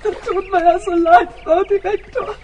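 A woman's voice: very high voice, steady tone, measured pace, distant mic, dull audio, a loud crowd. A woman playing a whiny, pleading crook's accomplice in a children's radio play. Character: pleading female accomplice, soft on her crook.

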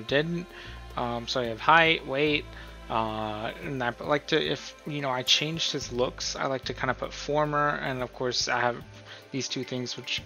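Bright-voiced man giving a casual tutorial. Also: medium pitch, vocal fry. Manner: informal, rambling, thinking aloud. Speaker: video tutorial host. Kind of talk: casual tutorial commentary, explaining a role-play character profile.

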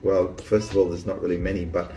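A man's voice: deep voice, little pitch movement, fast, dark tone, echoey room, faint music behind. A man who grew up in Australia, hosting a casual chat about small-town life, rambling with lots of restarts and ums. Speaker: vlog host.

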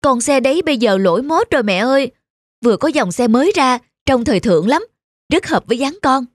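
A woman's voice: high-pitched, expressive, brisk, no echo. A woman voicing a spoiled grown-up son in his twenties in a cartoon drama, whiny and pleading. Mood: coaxing.

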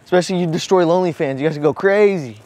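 A young American man with a fairly high voice talks in loose, slangy banter. He sounds like a prank-video host, picked up by a camera in a big store with some background noise. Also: racing, a little mellow. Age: young adult. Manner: slangy, profane. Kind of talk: casual public prank banter. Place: large indoor supermarket. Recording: noisy store ambience, camera microphone.

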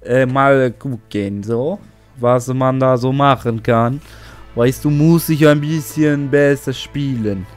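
A man giving let's play commentary on a flight game, excitable, swearing often and talking to himself. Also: mid-range voice, slow pace. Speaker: gaming channel host. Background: game music.

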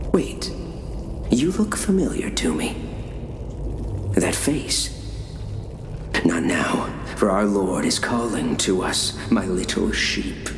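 Deranged, echoing ink cult prophet. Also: male voice, fairly low pitch, heavily acted. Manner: theatrical, slow, preacher-like chanting. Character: deranged ink-bodied male cult prophet, fervent and menacing. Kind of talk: horror game voice acting. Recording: echoey, music and ambient noise behind.

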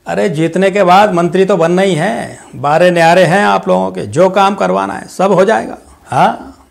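Guy doing a middle-aged politician dad, slightly high voice, hearty then quiet and cold, dramatic monologue. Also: normal speed, creaky. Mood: jovial. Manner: booming and jovial with party workers, dropping to a hushed, cold undertone with family.

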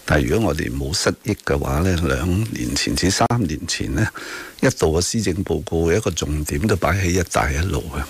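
A man with a low, husky voice and a Hong Kong Cantonese accent. He hosts a radio talk show and comments on politics in a lecturing, sardonic manner, often tagging questions onto his points. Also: lively intonation, a little fast, few pauses. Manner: didactic, corrective, sardonic, often ending on tag questions. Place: radio studio.

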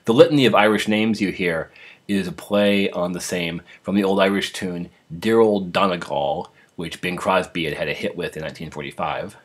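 A man with a husky voice, introducing old radio programs as the host. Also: deep voice, moderate pace.

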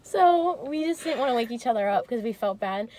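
A woman's voice: a little high, animated, brisk, a little mellow. A young woman vlogger chatting casually and giggling, swearing now and then, filmed on a phone in a car. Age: young adult. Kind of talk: casual vlog chat. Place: car interior. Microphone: phone camera held in car. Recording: noisy car cabin.

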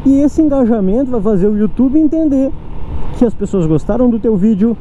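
A man's voice: very high voice, lively intonation, brisk, mellow. A young man with a southern Brazilian accent, talking frankly and rambling as he rides a scooter through city traffic. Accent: southern Brazilian Portuguese. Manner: rambling, frank, self-deprecating. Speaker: motovlogger. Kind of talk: casual riding commentary. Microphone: helmet or action-camera mic. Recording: muffled with light wind and traffic noise.

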